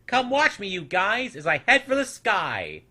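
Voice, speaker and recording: A man's voice: sits high, huge pitch swings, measured pace, sharp tone, voice pushed up, exaggerated voice. A man voicing a small, young, naive storybook creature, earnest and easily wounded, with a childlike manner. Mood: eager, excited.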